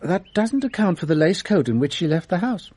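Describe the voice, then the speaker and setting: A man's voice: high-pitched, big pitch swings, fairly quick, dark tone, husky, no echo, exaggerated voice. A man playing a shrewd detective in a radio drama, questioning in a probing, dryly sardonic way. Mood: wry. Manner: probing, wry.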